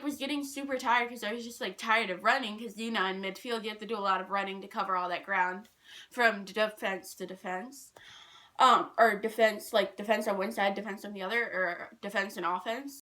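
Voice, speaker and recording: A young woman telling personal stories in a casual, animated, rambling and self-deprecating way. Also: mid-range voice, moderate pace. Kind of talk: casual storytime chat.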